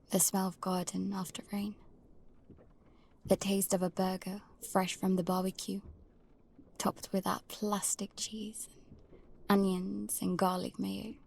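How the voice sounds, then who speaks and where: Wary young girl telling her story, hushed, not much pitch movement, bit creaky, faint noise behind. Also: little pitch movement, slow, pauses often. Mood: wistful. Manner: hushed, breathy, often near whispering. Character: wary, protective young girl narrating her life at sea. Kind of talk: first-person scripted audio drama narration.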